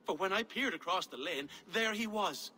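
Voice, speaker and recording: Fussy horse owner in a game, high bright voice, formal but whiny and offended. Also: male voice, animated, normal speed, husky, in a large hall, exaggerated voice. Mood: wounded, formal. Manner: formal, plaintive, indignant. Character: aggrieved, fussy, courteous male horse keeper. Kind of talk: dramatic video game acting.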